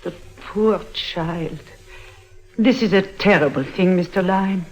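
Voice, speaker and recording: An actress playing a gentle, motherly nun in a 1950s radio drama, speaking soothingly. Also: fairly low pitch, slow, soft background music, poor audio. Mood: soothing, concerned.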